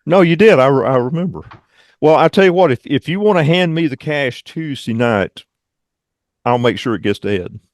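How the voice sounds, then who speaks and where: A man leading an online club meeting, giving informal updates in a halting, rambling, conversational way. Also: medium pitch, expressive, no echo, studio quality. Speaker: radio club meeting leader and presenter.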